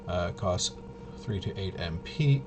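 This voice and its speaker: Low-voiced man thinking aloud, noisy recording. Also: expressive. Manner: halting, thinking aloud, muttering to himself. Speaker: game master demonstrating a tabletop RPG. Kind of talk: casual improvised narration of solo tabletop gameplay.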